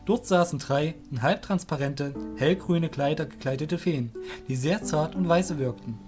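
A man's voice: fairly high pitch, unhurried. A male narrator reads a fantasy audio drama in scripted storytelling style. Music plays faintly underneath his voice.

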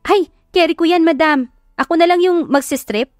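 A woman's voice: very high voice, moderate pace, a little mellow, no room sound, studio quality, pitched above the performer's own voice. A woman with a Filipino accent voicing a sassy, joking best friend with campy banter in an audio drama. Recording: background music under the voice.

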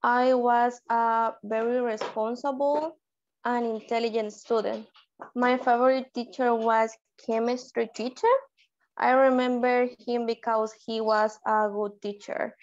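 Slow-reading woman from El Salvador. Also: a little high, level tone. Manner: careful, reading with some grammar slips. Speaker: student. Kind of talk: reading a written exercise aloud. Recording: dull lo-fi audio.

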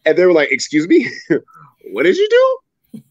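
A man in his thirties telling a personal story as a guest on a video-call interview, animated and dramatic, leaping up in pitch when he acts out other people. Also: measured pace, no room sound. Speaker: guest speaker. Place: home room with ceiling fan, indoors. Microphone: video call webcam. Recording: dull, dry video call audio.

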